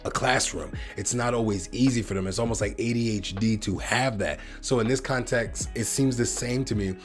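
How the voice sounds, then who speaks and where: Rambling American man vlogging. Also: on the low side. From United States. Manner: rambling, talks straight to viewers as guys. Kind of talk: casual reaction vlog to camera.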